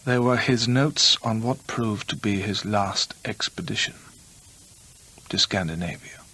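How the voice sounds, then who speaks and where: An actor playing an earnest storyteller who believes in the supernatural, measured and conversational, in a radio drama. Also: male voice, on the low side, pushed and bright, poor audio. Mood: earnest, defensive.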